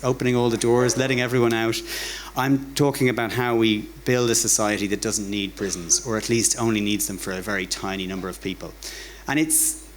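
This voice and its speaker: A man with an Irish accent and a fairly low voice speaks to a live audience in an informal lecture style. His delivery is conversational and wry, with words often repeated. There is faint noise from the venue behind him. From Ireland. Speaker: academic giving a public talk. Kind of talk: informal public lecture with dry humour. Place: bar hosting a talk night.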